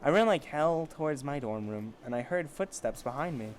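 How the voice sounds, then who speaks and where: A man's voice: on the high side, expressive, normal speed, slight background noise. A man casually reading amateur fiction aloud, with sarcastic, foul-mouthed asides. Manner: sarcastic, profane commentary. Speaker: amateur story reader.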